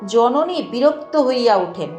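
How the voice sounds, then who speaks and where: A woman narrating a literary novel in a measured, expressive way with deliberate pauses. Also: on the high side. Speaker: audiobook narrator. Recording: echoey voice with music behind. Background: music.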